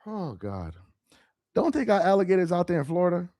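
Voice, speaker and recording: A man's voice: sits high, fairly quick, dry recording, clean studio sound. A young man hosting a casual livestream, reading viewer comments aloud, laughing and exasperated, talking straight to his chat. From Nigerian heritage. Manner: animated, laughing, exasperated, talks to chat directly.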